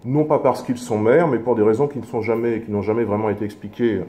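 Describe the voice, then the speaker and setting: A man speaking as an opposition councillor in a formal political speech, measured, with halting, self-corrected phrasing. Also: fairly low pitch, fairly even intonation, dark tone.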